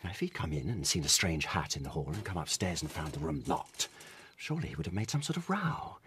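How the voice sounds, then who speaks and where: An actor playing a suave, self-assured British colonial official and secret lover in a period radio drama, speaking breezily in clipped upper-class phrases. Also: male voice, low-pitched, very animated, fast, a bit bright, a little hiss or hum. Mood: brisk reassurance.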